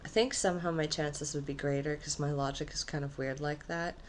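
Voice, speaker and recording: Low husky nasal woman rambling. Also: fairly even intonation, a little fast, a little hiss or hum. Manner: rambling, trailing off mid-thought. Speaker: vlogger. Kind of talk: casual rambling vlog. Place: her own apartment.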